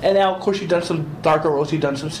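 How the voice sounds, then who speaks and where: A woman's voice: low-pitched, rapid-fire, a little mellow. A woman interviewer asking questions in a playful, joking way. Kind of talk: casual interview. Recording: echoey room with faint background noise.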